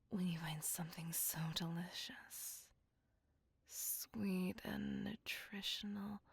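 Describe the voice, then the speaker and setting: An age-old vampire lady, acted by a woman, besotted and possessive, speaks with courtly, old-fashioned formality in an intimate ASMR roleplay. Her delivery is very slow, with plenty of creak, breathy and often near a whisper, recorded close and dry. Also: medium pitch, darkish tone, no room sound, exaggerated voice. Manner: formal, old-fashioned, ornate, pleading speech. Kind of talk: ASMR roleplay, scripted monologue.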